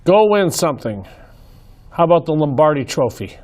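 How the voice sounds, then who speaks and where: A man hosting a casual talk show, hoarse, speaking in an earnest, folksy way with many fillers and restarts. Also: on the high side, big pitch swings, moderate pace, darkish tone. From Minnesota, US. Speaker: host. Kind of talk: casual commentary.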